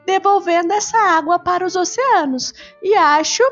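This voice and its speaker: A woman's voice: extremely high pitch, normal speed, fairly bright tone, lo-fi sound, soft background music. A woman voicing a young schoolboy, curious and earnest, in a children's bedtime story. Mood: earnest, explaining.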